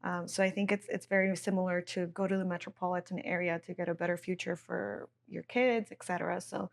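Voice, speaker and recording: A woman's voice: lowish voice, fairly even intonation, fairly quick, vocal fry. Chatty woman from Mexico. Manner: chatty, many fillers, switches into Spanish phrases. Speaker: chamber executive director, guest. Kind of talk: conversational podcast interview.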